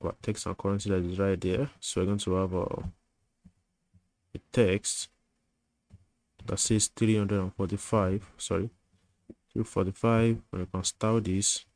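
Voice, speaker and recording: Man, deep voice, monotone delivery, coding tutorial, thinking aloud while typing, fillers and restarts. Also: measured pace, long pauses, no room sound. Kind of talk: screen-recorded coding tutorial.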